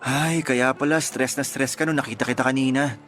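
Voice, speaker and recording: Radio drama: male actor as generous, reassuring young Filipino boyfriend, medium-pitched, easygoing, soft music behind. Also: fairly even intonation, fairly quick, lightly acted. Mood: concerned, sympathetic. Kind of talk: Tagalog radio drama dialogue. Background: light background music.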